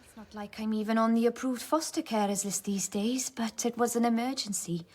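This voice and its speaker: An actress playing a motherly village midwife in a radio drama, speaking in a hushed, confiding manner. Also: on the high side, a little fast, close to an everyday voice. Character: motherly village midwife and foster carer, discreet, indignant.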